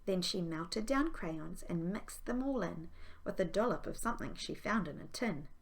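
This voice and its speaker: A woman's voice: fairly low pitch, lively intonation, normal speed, a little hiss or hum. A woman, a primary school teacher, reading a children's story aloud expressively.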